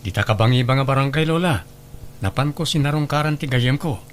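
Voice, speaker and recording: A man's voice: mid-range voice, fairly quick, a little hiss or hum, lightly acted. A man playing a dutiful, grateful young student grandson in a radio drama, affectionate and earnest. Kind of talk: Ilocano radio drama acting.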